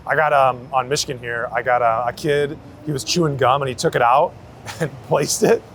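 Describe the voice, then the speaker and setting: Gravelly-voiced man chatting on the street. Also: medium pitch, steady tone, normal speed, fairly bright tone. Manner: slangy, anecdotal, hedging with "like" and "you know". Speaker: street photographer being interviewed. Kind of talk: casual chat. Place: outdoors on a busy city street. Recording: city street noise, traffic around.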